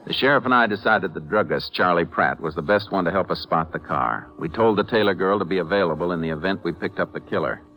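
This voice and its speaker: An actor playing a calm, terse American Texas Ranger in a 1950s radio drama, speaking with deadpan authority. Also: male voice, deep voice, fast talker, hardly any pauses, rough recording. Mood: steady, narrating. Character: calm, commanding male Texas Ranger investigator. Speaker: lead actor and narrator. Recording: vintage 1950s radio broadcast recording.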